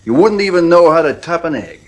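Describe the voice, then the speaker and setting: An actor playing a brisk, blunt, uneasy British family friend in a radio drama, middle-aged. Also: male voice, a little high, lively intonation. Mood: brisk, concerned.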